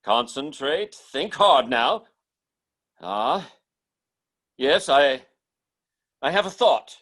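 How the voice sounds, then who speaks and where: A man acting in an old-time radio drama as an agitated stage mind reader, with a halting, stammering, theatrical delivery. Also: medium pitch, big pitch swings, fairly bright tone, full character voice. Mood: showy, grandiose. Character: agitated nightclub mind reader, showy on stage, urgent offstage.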